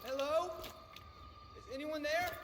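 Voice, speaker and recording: An actor playing an eager, bookish monster researcher with scholarly wording and a hesitant manner in a video game cutscene. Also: male voice, very high voice, takes it slowly, noisy background. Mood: nervous, starstruck.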